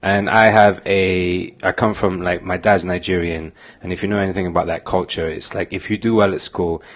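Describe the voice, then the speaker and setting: A man's voice: sits low, steady tone, moderate pace. A man in his thirties talking casually as a podcast guest, informal and full of conversational tags like "you know what I mean". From Glasgow and Oxford, UK. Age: 30s. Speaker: interview guest, musician and doctor.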